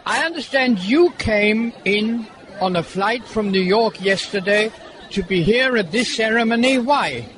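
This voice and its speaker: A male host with a high voice reports in a measured, descriptive way. He moves between documentary narration and short interviews. Clear background noise from a location recording runs behind him. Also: animated. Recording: noisy on-location sound. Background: airport terminal ambience.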